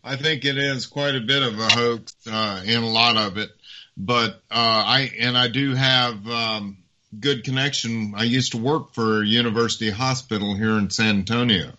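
Middle-aged guy, bright sharp voice, kinda halting with lots of uhs, muffled lo-fi sound. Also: medium pitch, fairly even intonation. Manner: halting, many fillers and repeats. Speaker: guest. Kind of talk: casual talk show conversation. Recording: dull lo-fi audio.

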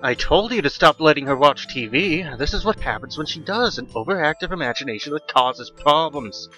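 Man as irritable forties father, complaining, dismissive, sharp tone, horror storybook. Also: a little high, expressive, brisk, faint music behind, voice pushed up, low-quality recording. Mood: irritated. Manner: scolding, complaining. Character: irritable, dismissive forty-six-year-old father and husband.

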